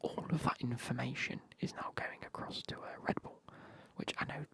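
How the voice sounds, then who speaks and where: A man's voice: mid-range voice, fairly even intonation, moderate pace, gravelly. Whispering man chatting on stream. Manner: whispering, banter with chat, swearing. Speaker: live streamer. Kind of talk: whispered casual stream chat.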